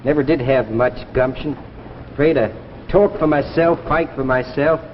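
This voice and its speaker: An actor playing a timid, dreamy young amateur inventor in a 1950s science fiction drama, mild, wry and hesitant. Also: male voice, mid-range voice, moderate pace, close to an everyday voice. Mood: earnest, self-doubting. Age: young adult. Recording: muffled, noisy 1950s broadcast with reverb.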